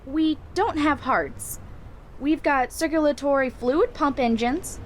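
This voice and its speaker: A woman voicing a perky, bossy young robot girl who teases and schemes in an animated comic dub. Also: high voice, takes it slowly. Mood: teasing. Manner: animated, teasing. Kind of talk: cartoonish comic dub acting. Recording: music behind the voice.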